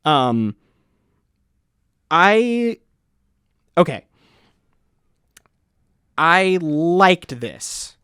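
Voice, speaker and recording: A man's voice: a little high, very animated, very slow, long pauses, fairly bright tone, clean tone, no room sound. Dry-sounding, rambling casual man. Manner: rambling, restarts often, swears casually. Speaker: co-host of a music review show. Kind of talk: casual music review chat. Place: college radio studio.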